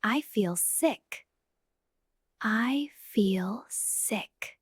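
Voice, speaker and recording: A woman leads a slow language drill, saying each short line again and again, often in a whisper. Her voice is husky and breathy, recorded close in a dry, dead room. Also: fairly high pitch, animated, dry recording. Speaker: English teacher. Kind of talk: slow repeated phrase drills.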